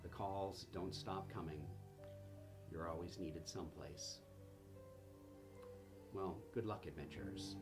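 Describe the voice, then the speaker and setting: A man acting as game master, narrating a tabletop game session in a halting way, searching for words. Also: deep voice, fairly even intonation, over music.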